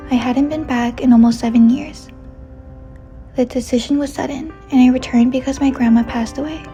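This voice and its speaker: A woman in her twenties from New York City, whose first language is Chinese, reading a reflective personal voice-over in a soft, measured way. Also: a little high. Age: 20s. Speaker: narrator. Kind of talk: scripted personal narration.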